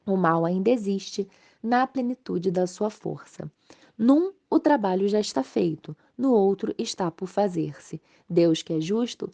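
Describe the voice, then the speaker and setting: A Brazilian woman with a slightly hoarse voice from a mild cold, giving an informal, explanatory religious study talk to viewers. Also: medium pitch, darkish tone. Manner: explanatory, conversational, checking in with tag questions. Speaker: host of a spiritist study channel.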